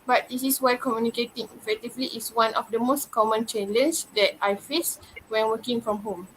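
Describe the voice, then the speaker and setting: Malaysian woman, 20s, student interviewer, measured and careful, higher register. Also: steady tone, a little hiss or hum, low-quality recording. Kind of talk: prepared class interview answers.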